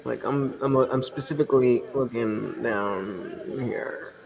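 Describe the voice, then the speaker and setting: A man's voice: mid-range voice, slow pace, creaky, crowd noise. A young man co-hosting a podcast, chatting casually, rambling with restarts and frequent laughter. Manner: rambling, self-interrupting, laughs often. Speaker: podcast co-host. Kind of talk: casual banter.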